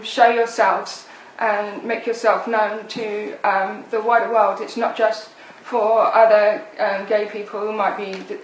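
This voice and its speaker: Echoey, higher-voiced woman interviewer. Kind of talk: live interview, halting questions. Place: echoey indoor space, live-streamed discussion. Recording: very echoey room, distant mic, faint noise.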